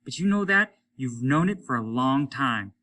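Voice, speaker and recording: A man voicing a bitter, spiteful astronaut who mocks his captain in a raised, loud voice. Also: mid-range voice, animated, moderate pace, far from the mic. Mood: taunting. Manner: taunting, mocking, raised and loud. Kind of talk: audiobook character voice.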